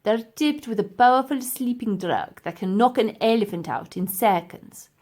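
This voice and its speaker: A woman voicing a cold-hearted, gloating old male professor in a children's audiobook, with pompous, emphatic, drawn-out delivery. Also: a little high, a little mellow, close to an everyday voice. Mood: menacing. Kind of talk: children's audiobook character acting.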